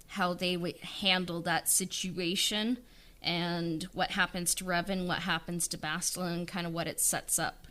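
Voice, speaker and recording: A woman podcast co-host and writer, answering interview questions in an enthusiastic, halting way. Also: lowish voice, fairly bright tone. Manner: enthusiastic, with hesitations and fillers. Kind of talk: casual interview chat.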